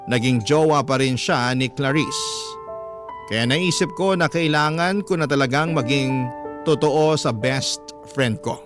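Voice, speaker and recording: A man with a Filipino accent reading a first-person letter as earnest, confiding radio-drama narration. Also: a little high. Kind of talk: dramatic scripted narration in classic radio manner. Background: music bed.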